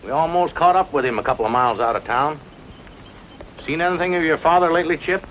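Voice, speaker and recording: An actor playing a brisk, determined sheriff in an old western film. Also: male voice, fairly high pitch, lightly acted. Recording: muffled, noisy old film soundtrack.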